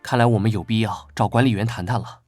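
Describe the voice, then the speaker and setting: An actor playing a guarded, prickly young knight vice-captain who speaks curtly and earnestly, in an animated drama dub. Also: male voice, fairly low pitch, fast, lightly acted. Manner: curt, wary and earnest. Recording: background music under dialogue.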